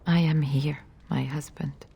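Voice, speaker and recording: An actress playing a solemn, devoted noble wife in a fantasy game. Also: female voice, low voice, fairly even intonation, a little slow, creaky, dark tone, husky, long reverb tail, heavily acted. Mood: tender, grave.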